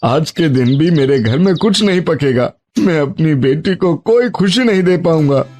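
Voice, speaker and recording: A man plays a poor, honest labourer in a moral cartoon. His slightly high voice swings widely with earnest feeling, always humble and deferential, over a soft music bed. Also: lively intonation, moderate pace, natural voice. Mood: dejected. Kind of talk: animated moral story acting.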